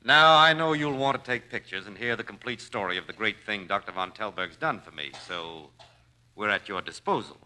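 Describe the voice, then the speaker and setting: Hearty middle-aged rich father; animated, sharp delivery, old-time radio drama. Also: on the low side, a little fast, rough recording. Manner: hearty, courteous. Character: genial, rejuvenated wealthy father, about fifty-five. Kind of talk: 1940s radio drama acting.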